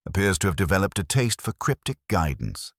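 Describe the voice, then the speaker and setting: Man voicing a deep, mild, wry police inspector. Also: big pitch swings, brisk, fairly bright tone, no room sound, clean studio sound, full character voice. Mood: dry, mild. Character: mild, dryly witty male police inspector. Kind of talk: storybook mystery dialogue.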